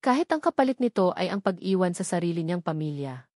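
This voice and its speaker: An elderly nanny in an audio story, read by a woman, frail and sorrowful. She confides an old secret slowly and gravely in a weak voice, recorded dry and close. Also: lowish voice, very animated, a little mellow, no echo. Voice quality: weak, breaking voice.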